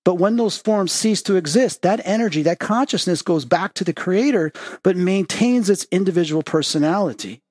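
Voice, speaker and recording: A man's voice: high voice, expressive, moderate pace, a little mellow, no echo, clean studio sound. A man hosting a spiritual podcast, talking in an earnest, reflective way and telling personal stories. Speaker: podcast host. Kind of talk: casual spiritual podcast chat.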